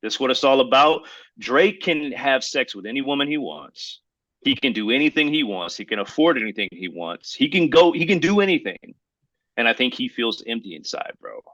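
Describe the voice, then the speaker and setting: A man co-hosting a podcast in casual, slangy, opinionated chat, talking in a halting, rambling way and circling back to qualify his points. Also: medium pitch, very animated, a bit bright, no room sound, low-quality recording. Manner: halting, rambling, hedging delivery; slangy, addresses listener as bro. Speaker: podcast co-host.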